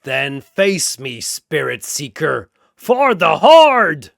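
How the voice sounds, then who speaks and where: A brawny bull-man warrior played by a male narrator, proud and fierce. He booms out slow, drawn-out battle cries for a fantasy tale, in a very dry recording. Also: very animated, creaky, fairly bright tone, dry recording, exaggerated voice. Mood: battle fury.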